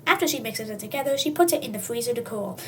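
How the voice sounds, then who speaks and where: Casting: high-voiced reader for comic story narration, highly animated, tongue-in-cheek, slightly distant homemade sound. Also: quick pace. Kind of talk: comic read-aloud narration in second person. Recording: distant mic, faint background noise.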